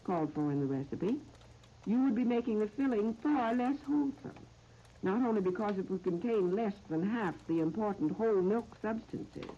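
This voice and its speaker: An American woman presenting a scripted 1930s radio cooking demonstration in a measured, instructional, carefully phrased manner. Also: medium pitch, warm tone. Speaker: cooking show host. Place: radio studio. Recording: dull, noisy 1930s radio disc recording.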